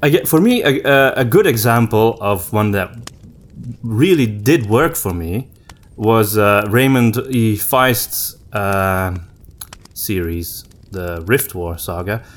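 A man co-hosting a podcast in casual conversation, with hesitant, halting phrasing and repeated words. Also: lowish voice, lively intonation. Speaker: podcast co-host and story writer.

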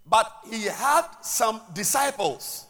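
A man with a Ghanaian accent preaching a sermon as pastor, swinging between loud declarations and quiet asides and repeating words as he restarts. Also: high-pitched, animated, slow.

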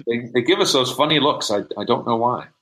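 A man's voice: mid-range voice, brisk, fairly bright tone, poor audio. A middle-aged man answering interview questions in a casual, explanatory way. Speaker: interview guest, member of a hobbyist society.

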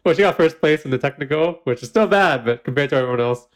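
A man's voice: fairly high pitch, lively intonation, fast. A man co-hosting a podcast, recapping a baking show in casual chat, giggling often and using lots of fillers. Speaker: podcast co-host.

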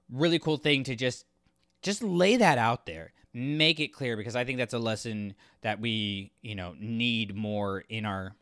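A man co-hosting a podcast, talking casually about books in a halting, thinking-aloud way. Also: on the low side, fairly bright tone, smooth, dry recording, studio quality. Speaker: podcast co-host reviewing books. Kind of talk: casual discussion and commentary.

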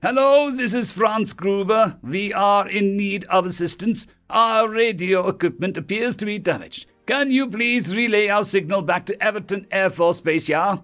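Dude doing eager otter engineer, german accent, high chirpy voice, kinda formal, story thing. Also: male voice, normal speed, hardly any pauses, fairly bright tone, faint music behind. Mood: urgent hope. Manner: chirpy, formal, clipped phrasing. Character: eager male otter engineer with foreign-tinged speech.

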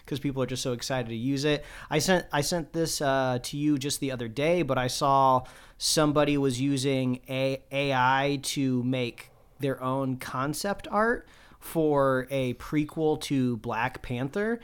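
A man co-hosting a casual podcast, talking quickly and enthusiastically with many fillers. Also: mid-range voice, steady tone, clean tone. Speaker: podcast co-host.

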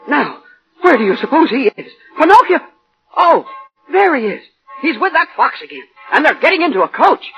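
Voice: extremely high pitch, exaggerated voice. A performer playing a chipper, watchful little cricket companion in an old radio drama. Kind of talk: 1930s-1940s radio drama acting. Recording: muffled vintage radio, music and crowd.

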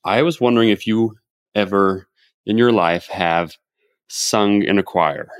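A man's voice: lowish voice, measured pace, dry recording. A man hosting a podcast, chatting and summing up advice in an upbeat coaching tone. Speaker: podcast host. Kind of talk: casual podcast chat and summary.

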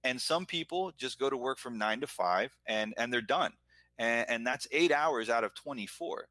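A man's voice: medium pitch, lively intonation, moderate pace, sharp tone, no room sound. A middle-aged man with an American accent, talking earnestly and at length about himself in a casual conversation. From Florida, United States. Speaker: guest, entrepreneur and business owner. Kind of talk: casual chat, personal reflection.

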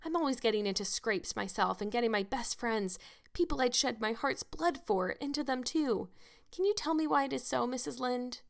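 A woman's voice: on the high side, lively intonation, fairly quick, a bit bright. A woman voicing an eager, dramatic young orphan girl, earnest and wordy, in a storybook reading. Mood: mournful.

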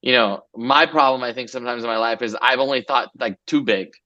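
A man's voice: mid-range voice, brisk, sharp tone, highs cut off. A man in his forties from Los Angeles, a guest entrepreneur chatting casually in an interview, loose and rambling with many fillers.

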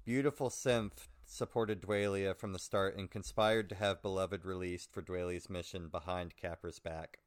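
A man's voice: sits low, fairly even intonation, normal speed, hardly any pauses. A man co-hosting a podcast, reading a prepared chapter summary aloud with dry, wry asides. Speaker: podcast co-host.

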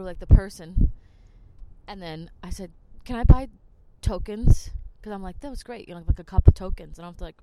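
A woman's voice: fairly low pitch, mellow, a little hiss or hum, poor audio. A woman from New Jersey, a podcast host telling rambling stories in casual chat. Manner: chatty, rambling, lots of "like". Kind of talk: casual storytelling monologue.

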